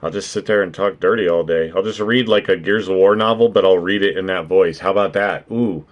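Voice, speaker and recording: A man with a naturally deep voice hosting a casual stream chat, joking, swearing and laughing often. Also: a little fast, highs cut off. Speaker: streamer and podcast host.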